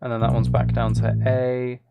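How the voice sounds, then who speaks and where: Casual male streamer with creaky voice. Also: mid-range voice, monotone, moderate pace, loud music behind the voice. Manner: self-deprecating, hums and sings out rhythms. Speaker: live streamer making music. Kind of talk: casual livestream chat, thinking aloud while composing.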